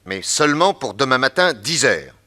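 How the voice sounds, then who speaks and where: An actor playing a calm, shrewd male detective in a radio drama, terse and assured. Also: mid-range voice, normal speed.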